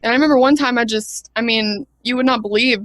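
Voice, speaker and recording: A woman's voice: on the high side, fast talker, a bit bright, no echo. Young Southern woman, chatty and hesitant. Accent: mild Southern US. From Brunswick, Georgia. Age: young adult. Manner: chatty, hesitant, many ums. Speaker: wrestler guest. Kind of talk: casual interview answers.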